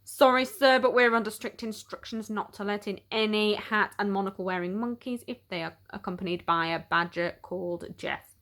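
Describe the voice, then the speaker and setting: A woman's voice: mid-range voice, normal speed, fairly bright tone, natural voice. A woman voicing a strict male doorman in a storybook, formal, firm and apologetically official. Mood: officious. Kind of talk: storybook read-aloud character.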